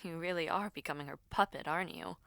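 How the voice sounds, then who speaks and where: A woman's voice: lowish voice, fast talker, gravelly, fairly bright tone, no room sound. A woman voicing a proud, hot-headed boy prince in an audio story, scornful and challenging.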